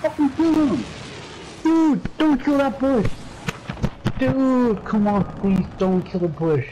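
A man streaming a shooter game, cursing and trash-talking excitedly in casual voice chat with his squad. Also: extremely high pitch, slow, background noise. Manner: crude, profane, excitable trash talk.

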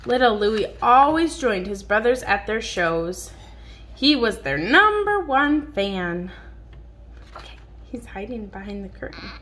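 A woman reading a picture book aloud to a young child in an expressive, child-directed way, drawing out words. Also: on the high side, unhurried. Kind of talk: read-aloud storytelling to a young child, with simple questions. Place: indoors at home on a dark wood floor. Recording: noisy home phone recording.

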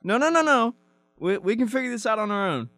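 A young man as a high-pitched panicky doomsayer. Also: expressive, fairly quick, a bit bright, dry recording. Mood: defensive. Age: young adult. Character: panicky, argumentative young man fearing Y2K doom. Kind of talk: amateur student radio drama acting.

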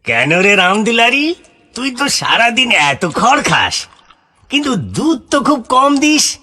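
A man's voice: very high voice, wildly swooping pitch, takes it slowly, sharp tone, heavily acted. A man voicing a greedy, grumbling poor farmer husband in a cartoon, with an exaggerated delivery. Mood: scheming, grumbling. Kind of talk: cartoon voice acting.

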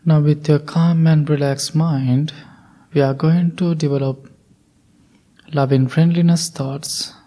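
Slow, soft-spoken male meditation guide. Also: a little high. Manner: soft, calm instructions with long pauses. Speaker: Buddhist monk leading meditation.

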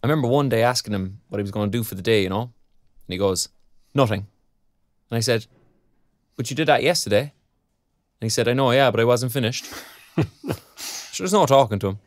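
A man's voice: medium pitch, fairly quick, long pauses, no room sound, lightly acted. Man acting young Irish man, underemployed graduate, wry and colloquial, audio drama, dry close sound. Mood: reflective, frank. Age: young adult. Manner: colloquial, sardonic. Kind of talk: naturalistic audio drama dialogue.

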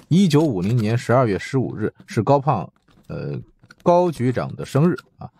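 Gruff, dismissive male boss, middle-aged played by a male narrator; audiobook, mellow but raspy, condescending drawl. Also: mid-range voice, wildly swooping pitch, moderate pace, pitched above the performer's own voice, heavily acted. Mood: offhand.

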